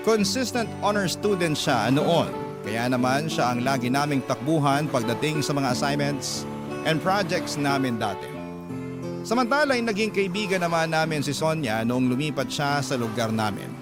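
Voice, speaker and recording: A man's voice: medium pitch, lightly acted. An actor narrating as a young man confiding his life story in a radio drama letter. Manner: earnest, confiding.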